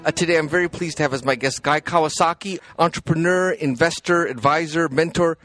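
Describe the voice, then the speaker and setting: A man's voice: on the high side, a little fast, a bit bright, no echo. A man hosting an interview show, asking questions in an eager, curious way and laughing often. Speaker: podcast host.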